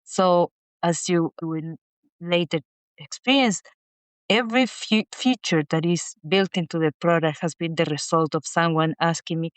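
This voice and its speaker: A middle-aged woman from Chile, a guest speaking haltingly with many restarts and repeated words in a casual interview. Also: fairly low pitch, clean tone, no room sound. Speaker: guest, inventor.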